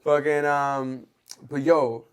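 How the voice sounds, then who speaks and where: A man's voice: medium pitch, fairly even intonation, slow pace, noisy background. A man from Venezuela with a Venezuelan Spanish accent, hosting a casual podcast interview in loose slangy talk. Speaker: podcast host.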